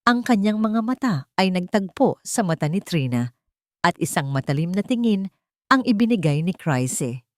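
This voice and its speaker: A woman narrating a dramatic romance story in a steady, dramatic scripted style. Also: lowish voice, normal speed, no echo, clean studio sound.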